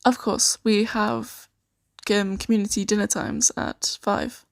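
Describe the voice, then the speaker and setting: A woman improvising an ASMR roleplay as a kind, shy, flustered boss of a secret sanctuary, speaking softly and hesitantly with giggles. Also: mid-range voice, level tone, slow, vocal fry, no echo, clean studio sound. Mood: shy, flirtatious. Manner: hesitant, giggly, soft-spoken.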